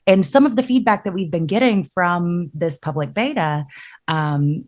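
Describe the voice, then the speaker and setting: A woman's voice: lowish voice, normal speed, darkish tone. A woman podcast host talking conversationally as she explains and puts questions to a guest. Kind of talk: conversational interview talk.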